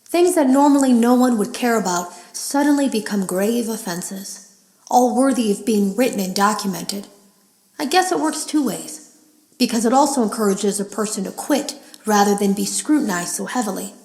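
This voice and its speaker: An echoey room surrounds an uneasy female game tester played by an actress. Her mid-range voice tells its story in a measured, confessional way, like secret audio logs in a horror video game. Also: mid-range voice, a little mellow, lightly acted. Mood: bitter, knowing. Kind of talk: scripted audio log monologue in a horror game.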